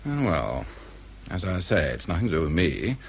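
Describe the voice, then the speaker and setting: An actor playing a worldly, cynical civil service schemer in a radio drama, with a British accent and an insinuating, offhand manner. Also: male voice, sits low, very animated, normal speed, breathy edge, full character voice.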